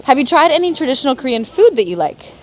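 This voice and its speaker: A woman's voice: high-pitched, very animated, quick pace. A young woman from North Carolina hosting a casual travel livestream outdoors, chatty and giggly with lots of fillers. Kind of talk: casual live chat while touring. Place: outdoors at a historic fortress park. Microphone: clip-on mic.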